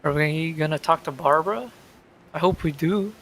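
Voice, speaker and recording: A man's voice: a little high, moderate pace, fairly bright tone, slight background noise. A man doing casual gameplay commentary as a streamer, playful and slangy. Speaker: gaming streamer. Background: game music.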